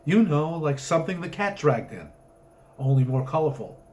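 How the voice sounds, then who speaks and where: A man's voice: a little high, big pitch swings, normal speed, darkish tone. A man voicing a beautiful, breezy woman driver in a story reading, chatty and wry with frank curiosity. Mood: breezy, amused.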